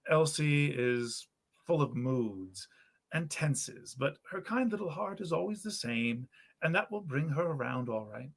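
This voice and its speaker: Man playing earnest young student, storybook, genteel, good-natured, animated old-fashioned phrasing, some fry. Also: a little high, a little slow, full character voice. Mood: teasing, affectionate. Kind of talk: storybook character reading.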